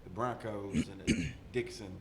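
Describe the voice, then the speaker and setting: A man's voice: on the low side, moderate pace, slight background noise. A man talking in a laid-back, casual way on a podcast, often chuckling. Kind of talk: casual chat.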